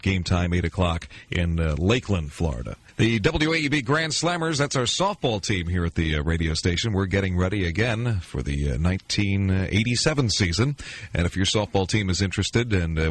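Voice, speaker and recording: A man's voice: deep voice, a little hiss or hum. An American man hosting a 1980s AM radio show in announcer patter, introducing items as a weekend DJ. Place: radio studio. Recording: 1980s AM radio aircheck with music.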